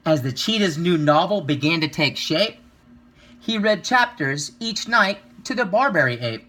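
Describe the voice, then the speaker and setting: A man reading a children's picture book aloud, with expressive, rhythmic delivery and drawn-out emphasis. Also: fairly high pitch. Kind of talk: children's story read-aloud.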